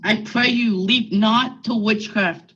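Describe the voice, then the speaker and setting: A man's voice: high voice, fairly even intonation, takes it slowly, sharp tone, slightly rough, exaggerated voice. A man acting an anxious, stern Puritan minister and uncle in a radio play, with formal, urgent, old-fashioned speech. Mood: pleading, alarmed. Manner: formal, archaic, urgent questioning. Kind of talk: period stage drama acting, radio play. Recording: dull lo-fi sound with music behind.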